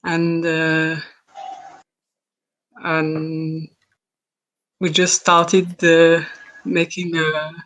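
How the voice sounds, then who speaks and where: A woman's voice: sits low, completely flat delivery, drawn-out words, pauses often, poor audio. Hesitant young woman from Switzerland. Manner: hesitant, many fillers, laughs softly. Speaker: art contest winner. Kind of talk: casual introduction on a video call. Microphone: video call.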